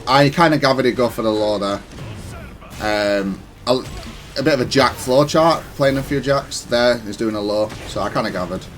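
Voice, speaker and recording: A man giving casual, analytical commentary on his own gameplay, self-critical but matter-of-fact and even-tempered. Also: mid-range voice, normal speed, soft background music. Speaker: gaming content creator commentating on own matches.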